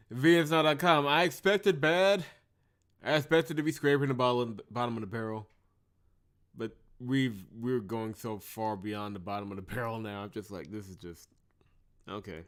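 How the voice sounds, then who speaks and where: A man's voice: mid-range voice, fairly bright tone. A man doing sarcastic, exasperated game commentary, ranting with swear words, with music behind him. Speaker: visual novel playthrough host. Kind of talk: game commentary and reading dialogue aloud.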